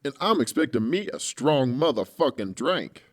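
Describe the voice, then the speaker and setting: A man's voice: mid-range voice, very animated, normal speed, slight background noise, natural voice. A man voicing a muscular, foul-mouthed, wary hired torturer in an audiobook, with a blunt, slangy manner. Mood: wary, rattled. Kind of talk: audiobook character acting.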